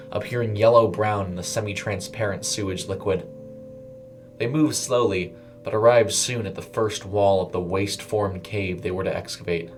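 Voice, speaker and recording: Low-pitched man narrating a story. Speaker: narrator and voice performer.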